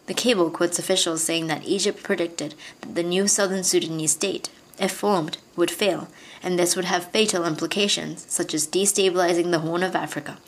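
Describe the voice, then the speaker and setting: A woman's voice: lowish voice, a little hiss or hum, rough recording. Woman reading scripted radio news. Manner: measured, formal newsreading. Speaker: radio reporter.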